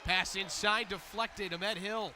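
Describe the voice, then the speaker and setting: An American man doing energetic live basketball play-by-play commentary, building to excited calls on big plays. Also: high voice, slow, slight background noise.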